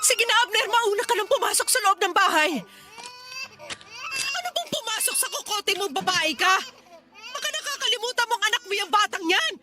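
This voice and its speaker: A woman's voice: very high voice, animated, normal speed. A woman playing an exasperated, protective grandmother in a radio drama, scolding and admonishing. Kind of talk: Tagalog radio drama acting. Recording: music under the voice.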